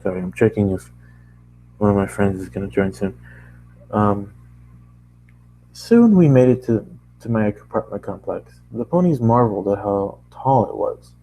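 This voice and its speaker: Guy reading fan fiction out loud, mellow and a bit deep, stumbles and rereads bits. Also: animated, a little hiss or hum, poor audio. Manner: halting, often rereads and corrects phrases. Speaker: amateur narrator, voice actor. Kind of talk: first-person story read-along.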